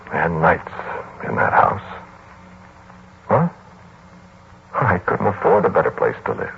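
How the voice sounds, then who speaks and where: A low-pitched, haunted man confides his story in 1940s radio drama style. He is halting and conversational, weary and defensive. The old recording is dulled, with clear hiss. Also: phone-quality sound, full character voice. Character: weary, defensive male narrator recounting a haunted house.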